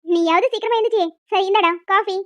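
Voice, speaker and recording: A woman's voice: extremely high pitch, fast talker, a bit bright. A woman voicing a nagging, disapproving older mother-in-law who complains and scolds in a cartoon family drama.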